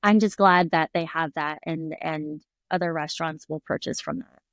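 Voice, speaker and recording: A woman's voice: deep voice, fairly even intonation, moderate pace, fairly bright tone, no room sound. A middle-aged woman telling stories in a casual interview, chatty, laughing often and acting out quoted voices. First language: English. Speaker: restaurant owner, guest.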